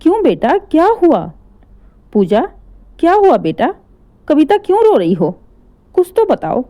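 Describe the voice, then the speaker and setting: A woman voicing an angry middle-aged mother who scolds and shouts at her son. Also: high-pitched, huge pitch swings, unhurried, pitched above the performer's own voice, exaggerated voice. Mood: worried.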